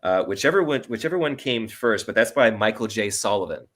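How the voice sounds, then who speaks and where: Bright-voiced man, slightly low, podcast guest. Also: animated, brisk. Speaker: guest writer and sound designer. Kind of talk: casual interview chat.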